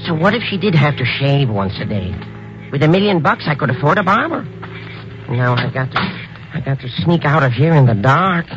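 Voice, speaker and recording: An actor playing a nervous, whining, cowardly comic sidekick in a 1950s radio drama, stammering and grumbling. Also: male voice, on the high side, big pitch swings, moderate pace. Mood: fretful grumbling. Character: jittery, whining, comic small-time sidekick, a cowardly man. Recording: dull vintage radio recording with music.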